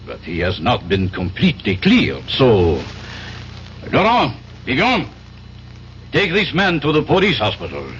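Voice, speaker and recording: An actor playing a polite but insistent police inspector in a 1970s radio drama. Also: male voice, mid-range voice, wildly swooping pitch, unhurried, long pauses. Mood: politely firm. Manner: formal, firm. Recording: muffled, noisy old radio recording.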